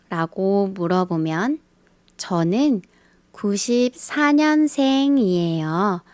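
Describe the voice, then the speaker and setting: A woman teaching a beginner language lesson, speaking in a slow scripted style and carefully repeating the example phrases. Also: medium pitch, dry recording. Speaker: Korean teacher.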